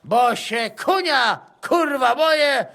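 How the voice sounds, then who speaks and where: An actor playing a brash, foul-mouthed, hot-tempered but jovial Polish soldier in a video game. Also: male voice, very high-pitched, expressive, slow pace, vocal fry, sharp tone, heavily acted. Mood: drunken, exuberant. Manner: brash, exuberant. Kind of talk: video game cutscene acting.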